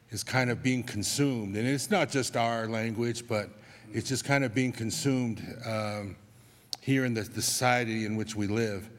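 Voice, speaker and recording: Slow-speaking Tongan man in a reverberant church. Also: mid-range voice. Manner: slow, measured, with restarts. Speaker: church member giving an announcement. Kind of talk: unscripted church announcement. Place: church sanctuary. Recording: long church hall reverb.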